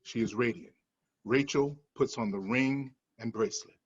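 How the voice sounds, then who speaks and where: A man's voice: medium pitch, normal speed, slightly rough, highs cut off. A man reading the stage directions of a screenplay at a table read in a matter-of-fact manner.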